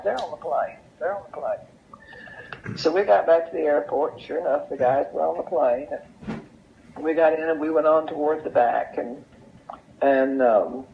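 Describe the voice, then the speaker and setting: An older woman tells anecdotes in an unhurried, folksy way, repeating phrases for emphasis as an interview guest. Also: deep voice, gravelly. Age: older, over 70. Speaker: interview guest sharing memories. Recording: dull, lo-fi sound.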